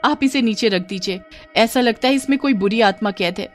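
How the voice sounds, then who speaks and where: महिला द्वारा निभाई घबराई हुई माँ. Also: female voice, on the high side, a little fast, soft background music, natural voice. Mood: worried. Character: anxious mother of a young boy. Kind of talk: children's horror cartoon story.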